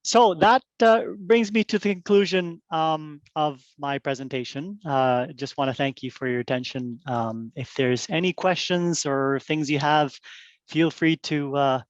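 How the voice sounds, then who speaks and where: A man's voice: a little high, a little slow, dry recording, lo-fi sound. A middle-aged man from Korea giving a casual, friendly workplace presentation on a video call, with plenty of ums and uhs.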